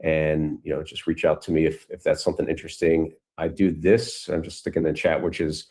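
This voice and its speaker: Male guest speaker with a low voice, informal and reflective, phrases trailing off. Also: flat delivery, a little fast, darkish tone, slightly rough. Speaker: guest speaker, community founder. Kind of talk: casual conversational talk on a video call. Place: online video call.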